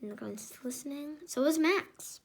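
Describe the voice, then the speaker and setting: A woman reading a children's picture book aloud, expressive and chatty, breaking into casual remarks on the pictures. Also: high-pitched, moderate pace, vocal fry, slight background noise.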